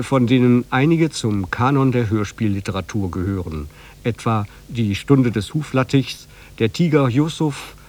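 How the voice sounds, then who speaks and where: A man's voice: medium pitch, a little mellow. A man presenting a radio programme, reading a scripted, informative introduction.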